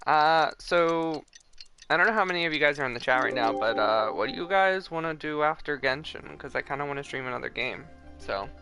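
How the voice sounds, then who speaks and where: A man's voice: on the high side, expressive, fairly quick. Offhand male game streamer over music. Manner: offhand, musing, informal. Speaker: game livestreamer. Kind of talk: casual livestream commentary.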